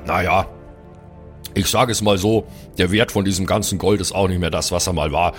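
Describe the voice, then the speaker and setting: A man's voice: low voice, fairly even intonation, moderate pace, faint music behind, natural voice. A man playing a bookish, know-it-all dwarf in an improvised fantasy audio drama, wordy and lecturing. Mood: disapproving.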